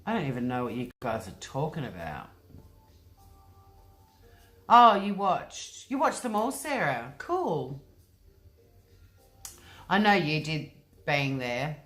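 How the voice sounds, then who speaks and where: A woman's voice: sits low, sing-song, takes it slowly, pauses often, fairly bright tone, faint music behind. A woman hosting a casual livestream from home, reading out viewer comments and rambling chattily with lots of ums and likes. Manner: chatty, rambling, often says um and like. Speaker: livestream host.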